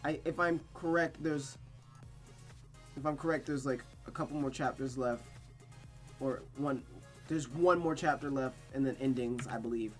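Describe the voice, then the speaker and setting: A woman's voice: a bass voice, normal speed, long pauses. Woman streamer reading and commenting, music behind. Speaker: streamer reading a visual novel aloud. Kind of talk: story narration and casual game commentary.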